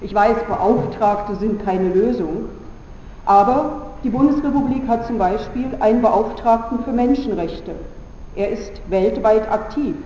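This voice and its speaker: A woman from Berlin giving a formal, measured conference speech as a politician, earnest, with deliberate pauses. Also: a little high, warm tone, slight background noise. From Berlin, Germany. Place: large conference hall. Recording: echoey hall, dull sound, faint ambience.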